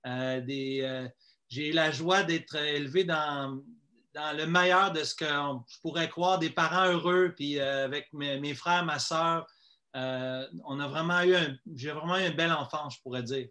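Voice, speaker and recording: A man from Quebec with a Quebec French accent gives a personal testimony as an interview guest. His voice is fairly high and bright. He talks conversationally, hesitating and repeating words as he goes. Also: unhurried. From Quebec, Canada.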